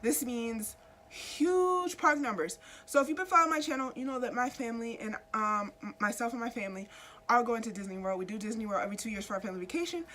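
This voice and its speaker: A woman's voice: a little high, quick pace, a bit bright. Stuffy-voiced woman chatting comic news. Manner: chatty, asks viewers questions directly. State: stuffy from a cold. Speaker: YouTube host. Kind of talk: casual comic book news chat.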